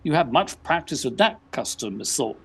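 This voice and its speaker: A man playing a vain gentleman detective, speaking in a pompous, formal, drily witty way. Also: medium pitch, big pitch swings, moderate pace, background noise. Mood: pompous, dry.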